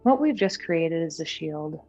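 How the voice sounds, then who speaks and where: A woman guiding a meditation in a gentle, soothing, unhurried manner. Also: deep voice, lively intonation, lo-fi sound. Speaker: meditation guide. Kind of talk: guided meditation. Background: background music.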